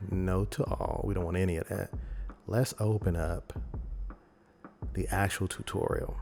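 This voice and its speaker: A man presenting a software tutorial in a casual, rambling, conversational way, talking informally through each step. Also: deep voice, fairly even intonation, unhurried, vocal fry, faint music behind. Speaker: video editing tutorial host.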